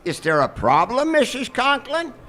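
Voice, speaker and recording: A man playing a suave, ingratiating doctor and hotel owner in a live radio comedy, smooth-talking and emphatic. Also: extremely high pitch, sing-song, moderate pace, sharp tone, soft background music, heavily acted. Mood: brisk, evasive. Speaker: actor.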